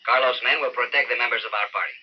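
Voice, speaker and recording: A man's voice: mid-range voice, fairly even intonation, very fast, close to an everyday voice. An actor playing a ruthless male political plotter in an old radio thriller, formal and coolly authoritative. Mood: coolly commanding. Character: smooth-talking, ruthless male political plotter, the boss villain. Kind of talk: old-time radio adventure drama acting. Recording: dull, distant vintage radio with hiss.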